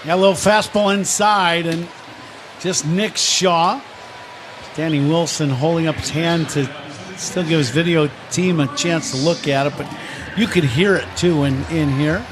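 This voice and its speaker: Man, baseball radio analyst, slightly high voice, live color commentary, light ballpark background noise. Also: fairly even intonation, unhurried. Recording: faint ballpark ambience behind the voice. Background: ballpark ambience.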